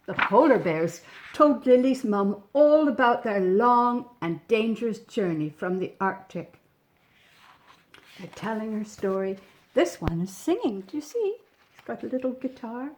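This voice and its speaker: A woman reading a storybook expressively to young children, gently chatting about the pictures as she goes. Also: fairly high pitch, a little hiss or hum. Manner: gentle, animated, pointing out pictures with questions to the listener. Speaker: storybook reader.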